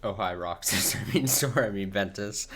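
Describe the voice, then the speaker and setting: A man's voice: on the low side, normal speed, a bit bright, slightly rough. A man streaming live reaction commentary on game cutscenes, exasperated and sweary, often repeating his phrases. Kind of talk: live reaction commentary, reading subtitles aloud.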